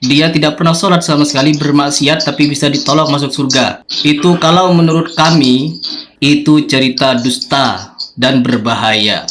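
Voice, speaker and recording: A man's voice: a little high, fairly even intonation, normal speed, background noise. A man in a heated religious debate, confrontational and insistently questioning as he challenges his opponents.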